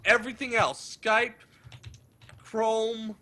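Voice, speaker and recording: A man's voice: high voice, steady tone, slow pace, sharp tone. A man co-hosting a gaming podcast, ranting in exasperation. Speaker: podcast co-host. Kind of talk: casual gaming podcast chat.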